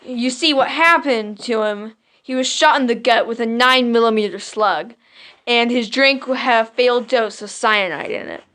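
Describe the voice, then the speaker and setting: A woman's voice: a little high, normal speed, sharp tone. A woman playing an earnest, eager young male detective's sidekick in a comic radio drama, speaking dramatically.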